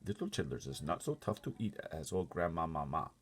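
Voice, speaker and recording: Big kindly storybook giant played by a man: husky, emphatic, folksy, with mangled grammar and a swooping delivery. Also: a bass voice, very animated, brisk, exaggerated voice. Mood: earnest, reassuring. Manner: muddled grammar and made-up words, emphatic. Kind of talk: storybook character voice.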